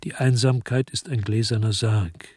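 A disgusted, world-weary investigator telling his story in a radio drama. The voice is lowish and heavily husky, recorded close and very dry. The delivery is brooding and terse. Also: steady tone, normal speed, darkish tone, no echo. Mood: sober, terse. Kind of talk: German radio drama, first-person narration.

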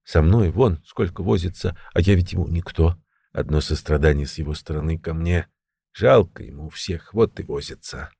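A weary crippled criminal veteran in an audiobook, acted by a man. The voice is low, creaky and labored, with short breaths and slow, stoic prison slang, recorded close and dry. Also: dark tone, no room sound, exaggerated voice. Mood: weary, resigned.

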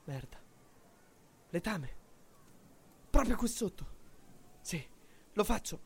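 A man's voice: sits high, big pitch swings, slow, creaky, darkish tone, slightly rough, exaggerated voice. A man acting a desperate, haunted fugitive rebel in a fragmented, brooding radio drama monologue. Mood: tense, urgent. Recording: music under the voice.

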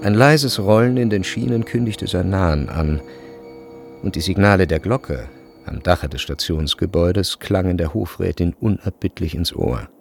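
A male narrator with a very low voice and gravelly edge reads literary prose for a radio play. He is measured and precise, yet his intonation moves widely. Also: expressive. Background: faint music.

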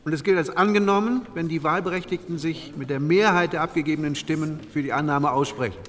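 A man playing an earnest delegate of 1949, speaking with deliberate, formal oratory in a dramatised protocol. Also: a little high, a bit bright, natural voice. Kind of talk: dramatised reading of parliamentary protocol. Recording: reverberant assembly hall.

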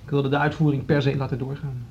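Actor as weary, hesitant conductor, muffled recording. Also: male voice, level tone, fast talker, a little mellow, natural voice. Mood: regretful, resigned. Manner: hesitant, subdued, earnest. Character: Berend, weary, dutiful male orchestra conductor stepping down. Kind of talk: radio drama acting. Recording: muffled lo-fi recording, faint rumbling noise.

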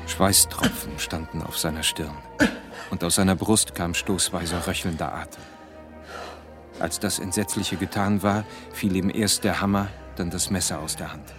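Deep-voiced sombre doctor, played by a man. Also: steady tone, normal speed, over music, lightly acted. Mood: sombre, hushed. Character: sombre, courteous male doctor recounting horrors. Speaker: narrator and character. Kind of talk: dramatic narration in a German radio play.

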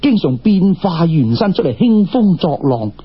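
A man with a Cantonese accent voicing a calm, wise female immortal mentor in a folk-tale audiobook, measured and admonishing. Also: sits high, slightly rough, lo-fi sound, exaggerated voice. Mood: cautioning.

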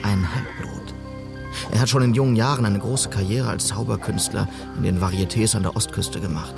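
An actor playing an earnest, idealistic policeman in a western radio drama, speaking in a measured, persistent way. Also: lowish voice, creaky, over music. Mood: reflective, factual. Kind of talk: radio drama acting and first-person narration.

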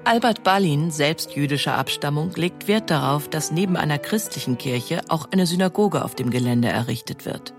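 A woman's voice: sits low, animated, moderate pace, hardly any pauses, music in the background. A woman reads scripted documentary narration as a narrator, in pronunciation.

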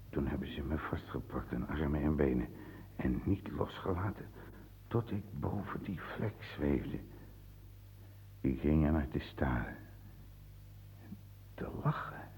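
A man's voice: a little mellow, heavily acted. An actor playing a dead old drunkard in a radio play, rambling theatrically and mocking himself as he buttonholes the listener. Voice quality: very deep, gravelly. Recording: old radio recording with noise.

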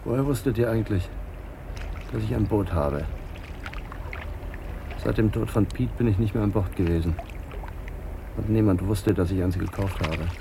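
An actor in a German radio crime drama playing a wounded, lonely Papuan man confessing with bitter resignation in a gravelly, subdued voice. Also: on the low side, normal speed, long pauses, background noise. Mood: resigned.

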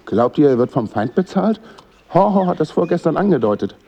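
A man's voice: fairly high pitch, fairly quick, vocal fry, dull audio, full character voice. A man playing a courteous but impatient knight in a radio drama, talking in a conversational way. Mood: inquisitive. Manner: animated, conversational.

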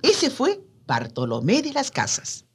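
A woman's voice: high-pitched, wildly swooping pitch, a little slow, heavy background noise. A woman co-hosting a radio programme, expressively addressing listeners in a scripted conversational style. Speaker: radio co-host.

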